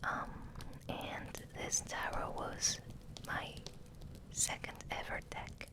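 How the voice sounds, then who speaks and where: Slow whispering woman, ASMR style. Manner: unhurried, halting, gentle repetition of words. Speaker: host of an ASMR channel. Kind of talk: soft whispered ASMR ramble. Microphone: close microphone resting on the table.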